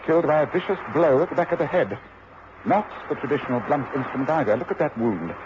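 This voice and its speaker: An actor playing a commanding, observant English gentleman detective with a precise, authoritative way of speaking, in a radio drama. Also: fairly high pitch, lively intonation, fast talker, lightly acted. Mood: certain, analytical. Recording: dull, noisy old radio recording.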